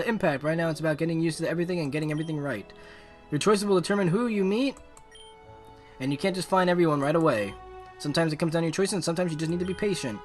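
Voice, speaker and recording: A man live-streaming a game, giving excitable, casual, slangy commentary and reading on-screen text aloud. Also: on the high side.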